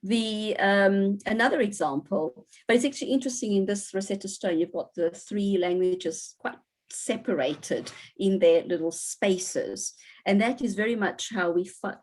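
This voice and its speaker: A woman's voice: medium pitch, moderate pace, darkish tone, low-quality recording. A middle-aged woman from South Africa, a university lecturer, giving an online lecture in a chatty way with many fillers and picking up audience answers from the chat.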